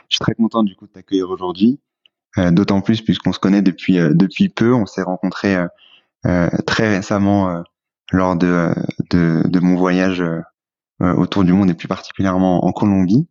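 A man's voice: low-pitched, steady tone, normal speed, a little mellow, no room sound, lo-fi sound. A man hosting a podcast interview, hesitant and full of fillers when speaking off the cuff. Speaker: podcast host.

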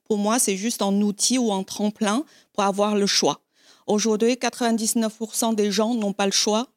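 A woman's voice: medium pitch, fairly even intonation, normal speed, no echo, clean studio sound. A woman who grew up in China, speaking plainly and steadily on a live panel with frequent fillers.

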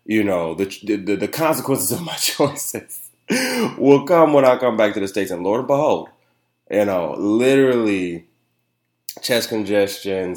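Young male podcast host, stuffy and nasal, lowish voice, loose rambling chat in an echoey room. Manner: rambling and chatty, keeps asking "you know what I'm saying". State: nasal and congested. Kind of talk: casual solo podcast chat. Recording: roomy, echoey room sound.

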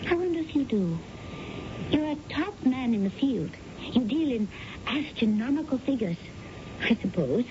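An actress playing an earnest, self-effacing widow in a radio drama, emphatic and pleading. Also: female voice, very animated, normal speed. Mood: self-effacing, insistent. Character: earnest, self-effacing recent widow seeking financial help. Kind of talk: 1970s radio drama acting. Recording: muffled, noisy 1970s radio broadcast with rumble.

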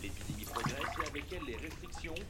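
A man's voice: medium pitch, animated, quick pace, very noisy. A man hosting a radio show, reading a scripted introduction. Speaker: radio host.

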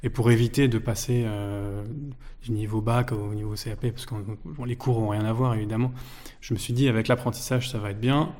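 A man's voice: on the low side, fairly even intonation, brisk, a little mellow. A man in his twenties, a guest in a casual interview, talking with many fillers and restarts. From France. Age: 20s.

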